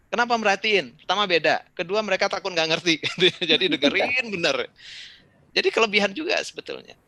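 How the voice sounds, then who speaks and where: A middle-aged man from Indonesia talks easily and conversationally, with a bright, forceful tone. The sound is dry and close, as on a video call. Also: on the high side, no room sound. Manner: relaxed, conversational, with frequent fillers and asides. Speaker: speaker answering questions in an online session. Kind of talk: casual talk and advice.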